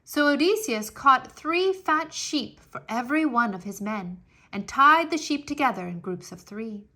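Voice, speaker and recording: Woman doing a kids read-aloud, highish bright voice, expressive and emphatic storytelling. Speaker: storybook narrator, English teacher.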